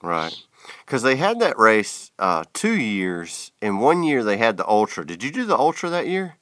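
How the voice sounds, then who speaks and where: A man's voice: lowish voice, moderate pace, no room sound, studio quality. A man hosting a podcast, chatting casually with lots of fillers. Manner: relaxed, filler-heavy Southern talk. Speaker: podcast host. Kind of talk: casual interview chat.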